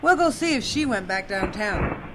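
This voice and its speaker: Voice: mid-range voice, animated, moderate pace, background noise, natural voice. An actor playing a pushy police officer who questions people in a commanding, impatient way.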